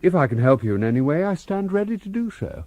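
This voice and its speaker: Animated, high-voiced scientist. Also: male voice, quick pace, no echo. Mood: welcoming, eager. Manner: effusive, formal, animated. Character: eager, courteous male scientist heading a murder investigation. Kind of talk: old-time radio drama acting.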